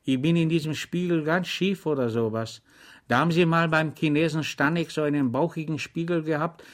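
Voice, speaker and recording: A man's voice: fairly high pitch, brisk, voice pushed up, natural voice. A man reading an audiobook, voicing a cheerful, simple-minded soldier orderly who chats earnestly and deferentially. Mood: eager, deferential. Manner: chatty, earnest and deferential, rambling anecdotes.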